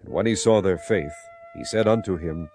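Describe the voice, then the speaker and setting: A man narrating dramatized scripture as a solemn, measured storyteller. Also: deep voice, darkish tone. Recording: clear music bed behind narration. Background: music.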